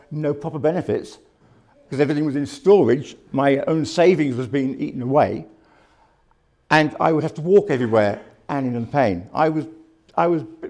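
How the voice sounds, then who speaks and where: A man in his fifties speaks to a live audience in a candid, earnest way. His voice is mellow and sits at an ordinary pitch. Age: 50s. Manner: candid, plain-spoken, earnest. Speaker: speaker sharing lived experience. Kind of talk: personal testimony at a live talk. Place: live talk before an audience.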